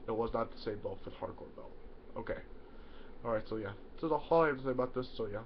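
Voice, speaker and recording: A man's voice: mid-range voice, fast. Sniffly young man rambling on webcam. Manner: rambling, many "yeah" fillers and restarts. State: stuffy nose from a cold. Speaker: YouTuber. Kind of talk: casual to-camera explainer. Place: small bedroom indoors. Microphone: webcam in front of him. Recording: dull lo-fi webcam audio, faint hiss.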